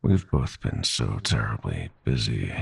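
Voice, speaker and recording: Demon prince villain for audio roleplay: extremely low, husky rasp, smug, silkily mock-polite, taunting. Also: male voice, sing-song, unhurried, full character voice. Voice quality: husky, gravelly, breathy. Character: smug, sadistic male demon prince of nightmares.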